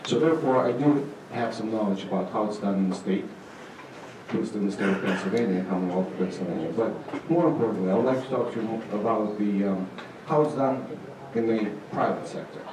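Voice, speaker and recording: Middle aged guy w presenting on stage, lowish voice, bit halting, noisy room. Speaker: conference presenter, state agency manager. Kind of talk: informal conference presentation. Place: auditorium stage. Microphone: handheld microphone, recorded from far camera. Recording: echoey hall, distant, noisy room sound.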